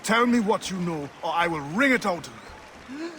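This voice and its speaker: An actor playing a determined, commanding freedom fighter in a video game, terse, forceful and formal in his speech. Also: sits high, big pitch swings, normal speed. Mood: threatening, stern. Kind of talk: dramatic game cutscene acting. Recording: clearly noisy game ambience behind.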